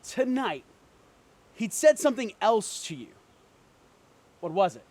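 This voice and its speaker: A man's voice: high-pitched, sing-song, measured pace, a little mellow, exaggerated voice. A man acting a cocky, teasing twin brother who is flippant and unfazed by jail in an amateur drama reading. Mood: insistent, needling.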